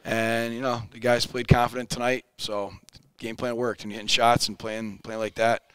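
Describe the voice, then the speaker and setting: A man's voice: medium pitch, little pitch movement, moderate pace, a bit bright, clean tone, no echo. Man, 40s, basketball coach, post-game interview, upbeat, repeats words, headset mic, dry close sound. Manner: upbeat, plain-spoken, often repeats words as he restarts. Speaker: high school basketball head coach. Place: indoor studio set in front of a backdrop.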